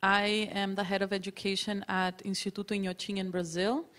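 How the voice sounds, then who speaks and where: A woman from Ecuador gives a quick, lightly amused introduction at a panel. Her voice sits at a middle pitch. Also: level tone, a bit bright. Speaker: head of education.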